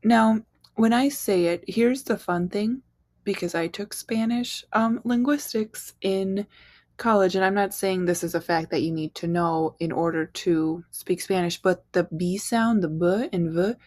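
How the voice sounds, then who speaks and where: A young woman explains Spanish pronouns in a relaxed, chatty way, full of fillers and little asides. Her voice sits at an ordinary middle pitch. The sound is close and dry, with no room around it. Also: a little slow, no echo. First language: English. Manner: chatty, uses fillers, quiz-style prompts. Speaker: Spanish teacher. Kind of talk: casual online language lesson.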